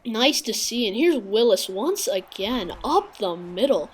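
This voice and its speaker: A woman giving casual, halting gameplay commentary with a congested, sniffly voice and many filler pauses. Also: a little high, sing-song, breathy edge, rough recording. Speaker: gaming video creator.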